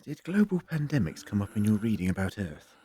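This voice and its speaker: A man's voice: on the low side, sing-song, brisk, slight background noise. A man playing an earnest, inquisitive time-travelling doctor in an audio drama, grave and precise in his explanations. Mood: grave, explaining. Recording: loud music under the voice.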